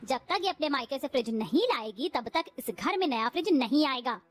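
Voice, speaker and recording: A woman's voice: high voice, expressive, brisk, soft background music. A woman voicing a cruel, domineering mother-in-law in a cartoon who scolds and taunts. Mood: taunting.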